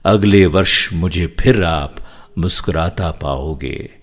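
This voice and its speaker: A man's voice: low voice, slow, voice pushed down. A man voicing a festival's own spirit, addressing devotees in an emotive, poetic, declamatory way in a scripted monologue. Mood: wistful farewell.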